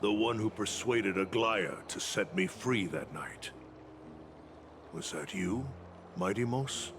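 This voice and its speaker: An actor playing a proud, troubled warrior addressing his king in a game, gravelly and dramatic. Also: on the low side, noisy background. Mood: subdued, earnest. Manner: dramatic, slow, weighty. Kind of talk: scripted game cutscene drama. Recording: game dialogue under loud music.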